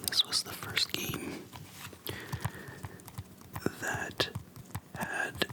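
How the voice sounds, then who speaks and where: An ASMR creator speaks entirely in a hushed, breath-only whisper, close to the microphone. The delivery is casual and slangy, like chatting with a friend, with unhurried pauses between short phrases. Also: poor audio. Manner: informal slangy speech. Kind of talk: whispered ASMR, casual nostalgic game chat. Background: soft tapping and clicking.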